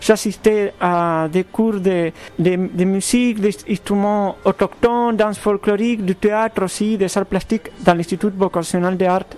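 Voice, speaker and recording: A man from Argentina with a fairly high voice speaks as a composer in an interview. He picks his words slowly, with false starts and repeated words. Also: steady tone, a little mellow. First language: Spanish. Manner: thoughtful, halting, many restarts. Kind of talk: radio interview.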